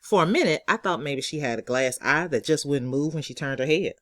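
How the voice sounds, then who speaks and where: A woman's voice: deep voice, animated, brisk, a bit bright, no echo, clean studio sound. Woman narrating a sassy first-person story. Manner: conversational, sassy child's-eye storytelling. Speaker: author reading own novel.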